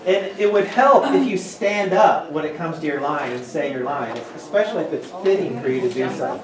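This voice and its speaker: A man's voice: fairly high pitch, lively intonation, fairly quick, crowd noise. A middle-aged man presenting informally to a workshop group. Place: large meeting room with windows and tables. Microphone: distant camera microphone. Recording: distant, echoey, noisy room recording.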